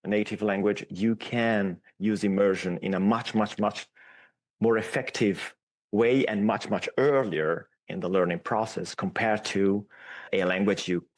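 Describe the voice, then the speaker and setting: A man whose first language is Italian, a podcast guest, explaining his views at length in a steady, reflective way. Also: lowish voice, unhurried. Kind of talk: casual podcast interview.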